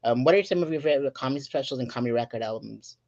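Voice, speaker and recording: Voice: medium pitch, racing, dull audio. A host of a comedy talk stream, asking questions and bantering with lots of laughter. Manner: giggly, animated, swearing banter. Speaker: podcast host. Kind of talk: casual interview chat.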